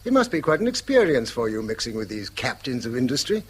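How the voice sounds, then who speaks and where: A man's voice: medium pitch, big pitch swings, fast, slightly rough. A man playing a wry, needling, unworldly brother in a radio drama. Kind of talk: British stage-style radio drama acting.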